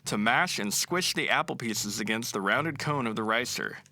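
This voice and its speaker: A man narrating a children's picture book aloud in an expressive, storytelling way. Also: medium pitch, moderate pace, soft background music.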